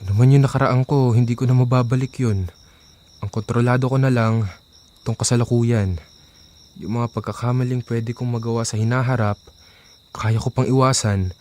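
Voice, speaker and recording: A man's voice: mid-range voice, fairly even intonation, normal speed, long pauses, a little mellow, lightly acted. A man acting a remorseful young ex-playboy who earnestly pleads for his love in an audio drama.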